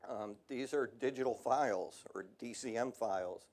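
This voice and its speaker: A man's voice: mid-range voice, normal speed, a little mellow. Man presenting at a technical seminar. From United States. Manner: casual, conversational, frequent ums and asides. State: voice slightly worn. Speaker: industrial radiography presenter.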